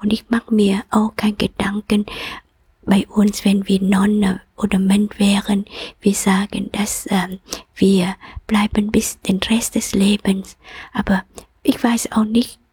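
Interviewte Nonne, Frau mittleren Alters mit vietnamesischem Akzent, hauchig, langsam, ruhig. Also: female voice, mid-range voice, level tone, takes it slowly, darkish tone, breathy edge. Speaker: Buddhist nun, interviewee. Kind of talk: calm reflective interview answers.

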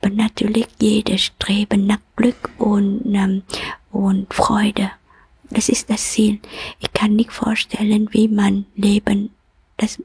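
Interviewte Nonne, Frau mittleren Alters mit vietnamesischem Akzent, hauchig, langsam, ruhig. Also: female voice, mid-range voice, darkish tone, breathy edge. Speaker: Buddhist nun, interviewee. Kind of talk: calm reflective interview answers.

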